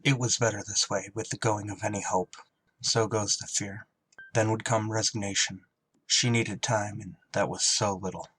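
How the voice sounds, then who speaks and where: A man playing a blunt, solemn spaceship pilot who also narrates a radio drama, deliberate and terse, with buried feeling. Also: fairly low pitch, steady tone, fairly bright tone, a little hiss or hum, natural voice, poor audio. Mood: brooding narration. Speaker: actor and first-person narrator.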